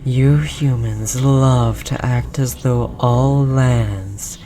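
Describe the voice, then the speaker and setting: A performer voicing an ancient forest god in a roleplay audio drama, solemn and condescending, with a breathy, whispery voice and archaic formal phrasing. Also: medium pitch, drawn-out words, dark tone. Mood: condescending, menacing. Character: ominous ancient forest god, possessive, condescending, gently menacing. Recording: noisy, layered forest ambience and effects. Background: forest ambience.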